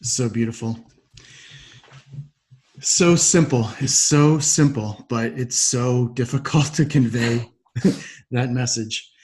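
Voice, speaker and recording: A man's voice: medium pitch, takes it slowly, pauses often, darkish tone. A man with a mid-range voice hosts a podcast interview. He speaks conversationally and responds to his guest in an appreciative, affirming manner. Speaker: podcast host.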